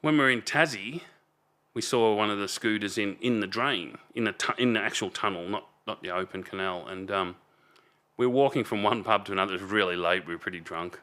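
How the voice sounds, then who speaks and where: A man in his fifties with an Australian accent and a loud voice, telling stories as a podcast guest in a rambling way, trailing off and restarting a lot. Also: lowish voice, brisk. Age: 50s. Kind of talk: casual storytelling chat.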